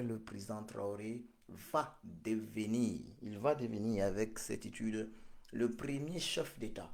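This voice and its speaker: A man, giving a slow, repetitive prophetic address full of hesitations. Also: medium pitch, gravelly, a little mellow, reverberant. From Cameroon. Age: 40s. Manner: slow, repetitive, hesitant with many pauses and fillers. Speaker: political speaker.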